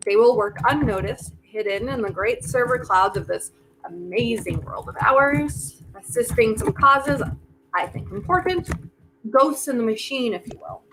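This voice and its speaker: Slow, deliberate woman acting as wry inventor. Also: on the high side, background noise, close to an everyday voice. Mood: resolute. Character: Dakota, wry determined woman inventor reshaping the multiverse. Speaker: actor in a community theater reading. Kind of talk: virtual staged play reading.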